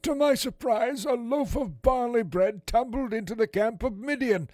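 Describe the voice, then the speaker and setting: Man playing a very high-voiced wondering soldier. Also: normal speed, darkish tone, no room sound, studio quality. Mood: amazed. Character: astonished Midianite soldier recounting a strange dream. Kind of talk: scripted Bible dramatization.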